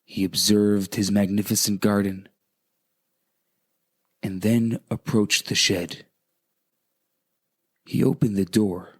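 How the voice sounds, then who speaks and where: A man, a rabbi on the radio, telling stories with deliberate phrasing and dramatic repetition. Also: medium pitch, slightly rough. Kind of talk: conversational radio storytelling.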